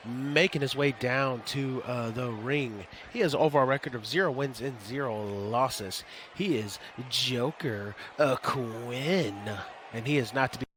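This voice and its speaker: Slightly hoarse man streaming, noisy background. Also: mid-range voice, a bit bright. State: hoarse, getting over a cold. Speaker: streamer hosting a wrestling game league. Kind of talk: casual livestream commentary.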